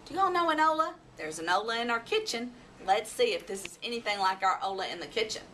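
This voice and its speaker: A woman's voice: on the high side, lively intonation, fast talker, fairly bright tone. A woman reading a children's story aloud expressively, acting out animal sounds and voices. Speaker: storybook reader. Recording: noisy, distant microphone.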